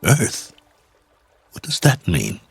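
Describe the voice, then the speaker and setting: An actor playing a stranded space traveler, weary but hopeful, halting and musing in a radio drama. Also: male voice, medium pitch, wildly swooping pitch, vocal fry, slightly rough, no room sound, faint music behind, full character voice. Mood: gentle, hopeful. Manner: halting, musing, talks to himself.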